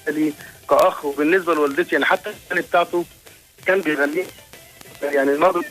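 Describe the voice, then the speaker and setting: A man's voice: fairly high pitch, steady tone, very fast, fairly bright tone, soft background music, low-quality recording. A man answering a radio host's questions politely and briefly over the phone. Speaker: phone guest, brother of late singer. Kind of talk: phone interview.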